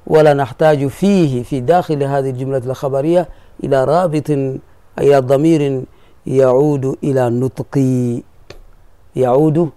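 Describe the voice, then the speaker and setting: A man's voice: a little high, mellow, no room sound. A man teaching Arabic grammar as a sheikh, patiently explaining and repeating key phrases and checking that his students follow. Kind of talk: classroom explanation of a grammar poem.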